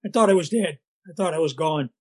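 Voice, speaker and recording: An older man with a Boston accent and a scratchy voice, telling his recovery story at a meeting in a halting way, with many fillers and restarts. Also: on the high side, darkish tone, breathy edge. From Massachusetts, USA.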